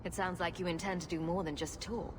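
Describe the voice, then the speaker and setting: An actress playing an unflappable, sarcastic young adventurer in a video game, with a dry, sardonic delivery. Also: female voice, on the low side, steady tone, brisk, noisy background, lightly acted. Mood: dry, composed.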